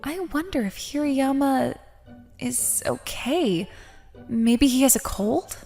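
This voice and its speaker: A woman's voice: fairly high pitch, expressive, normal speed, soft background music, lightly acted. A woman playing a sociable but nervous, lovesick schoolgirl in a manga dub, with an emotive, hesitant delivery. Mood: wistful, self-doubting. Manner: emotive, self-doubting, hesitant. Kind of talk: dramatic manga dub narration and dialogue.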